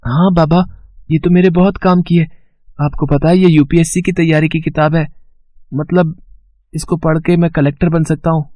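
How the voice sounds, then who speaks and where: An earnest, studious young college boy played by a man speaks in a fairly high male voice, recorded dry and close. He sounds sincere and a little hesitant, as in an animated moral tale. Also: normal speed, dry recording, lightly acted. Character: earnest, hardworking poor college student boy. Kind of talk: acted dialogue for an animated moral story.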